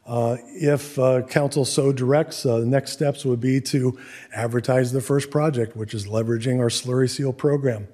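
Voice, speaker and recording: A man presenting at a public meeting as city staff, halting in delivery, his voice hoarse and giving out. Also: mid-range voice, steady tone, warm tone.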